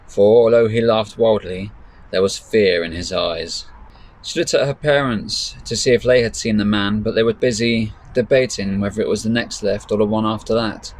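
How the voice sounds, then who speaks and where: A man reading his own story as dramatic narration, with measured, deliberate pauses. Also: lowish voice, fairly even intonation, rough recording. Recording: faint background noise.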